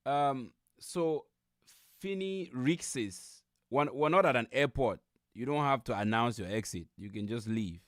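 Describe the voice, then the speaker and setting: A man from Cameroon hosts a livestream. His commentary is casual and animated, circling back over phrases in disbelief. The voice sits at a middle pitch in a dry recording. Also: measured pace, no echo. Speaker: livestream host reacting to a video.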